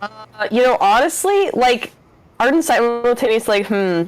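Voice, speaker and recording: A woman's voice: on the high side, moderate pace, no echo, rough recording. Laid-back young woman player. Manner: relaxed, filler-heavy, shrugging. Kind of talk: casual chat.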